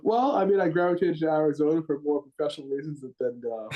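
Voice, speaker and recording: A man's voice: fairly high pitch, quick pace, dull audio. A middle-aged man with a Rhode Island accent, a coach answering podcast interview questions in a rambling way, with many repeated words and restarts. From Rhode Island, USA. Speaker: gymnastics head coach, guest.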